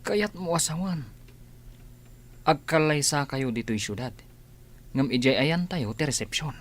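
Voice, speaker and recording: A man's voice: on the high side, expressive, measured pace. A man with an Ilocano accent playing a curious, teasing pal in a radio comedy-drama. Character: teasing male pal and workmate, curious and plain-spoken.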